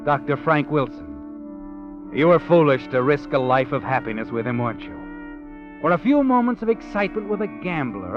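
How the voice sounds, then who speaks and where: Over clear dramatic music, a man plays an American narrator of forties suspense radio. His voice is fairly high, ominous and needling, as if he knows every secret. The sound is dull and lo-fi, like an old broadcast. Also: close to an everyday voice. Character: knowing, taunting male narrator of crime tales. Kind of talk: 1940s radio suspense narration, second person. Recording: dull lo-fi 1940s radio recording.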